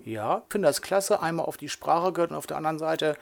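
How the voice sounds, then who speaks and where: A man's voice: fairly high pitch, quick pace, creaky. A middle-aged man from northern Germany co-hosting a podcast, chatty and enthusiastic as he thinks aloud. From Lower Saxony, Germany. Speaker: podcast co-host. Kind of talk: casual podcast chat.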